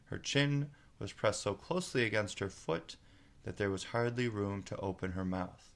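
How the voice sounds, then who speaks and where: A man's voice: lowish voice, normal speed, gravelly. A man narrating a storybook aloud as a storyteller.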